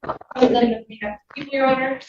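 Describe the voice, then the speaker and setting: A woman's voice: high-pitched, steady tone, quick pace, background noise. A woman speaking as a prosecutor, presenting her argument to a judge in a courtroom. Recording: echoey, muffled, distant courtroom audio.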